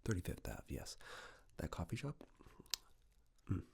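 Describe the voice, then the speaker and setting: Low-pitched man, soft ASMR whisper, gravelly edge, unhurried reassuring tailor roleplay. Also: wildly swooping pitch. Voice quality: soft, breathy whisper mixed with low creaky murmur. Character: attentive male tailor, gently flattering and chatty. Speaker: ASMR performer playing a tailor. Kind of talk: soft-spoken ASMR personal-attention roleplay.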